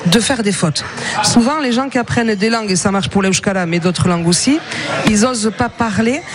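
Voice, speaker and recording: A man taking part as a guest in an informal radio discussion, speaking earnestly and haltingly. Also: high-pitched, crowd noise. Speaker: radio talk show guest.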